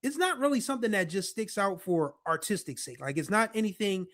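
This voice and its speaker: A man in his forties hosting a podcast, talking in a relaxed, rambling way full of fillers. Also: fairly quick. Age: 40s. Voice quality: deeper voice. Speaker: podcast host. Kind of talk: casual nostalgic monologue.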